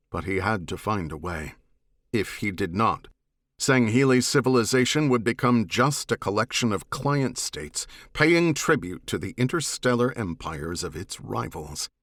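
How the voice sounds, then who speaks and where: A man narrating a science fiction audiobook in a measured, serious and dramatic manner. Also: mid-range voice, expressive, fairly bright tone, dry recording, clean studio sound.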